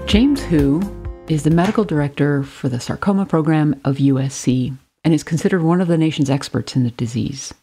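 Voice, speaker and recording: A woman co-hosting a podcast, reading out the guest's credentials in a clear, measured way. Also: low voice, dark tone, soft background music. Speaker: podcast co-host.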